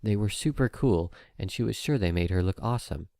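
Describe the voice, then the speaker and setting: A man with a fairly low voice narrates a story from a script. The recording is dry and close, with the delivery of an amateur audiobook of fan fiction. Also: expressive, fairly quick, creaky, warm tone, no room sound.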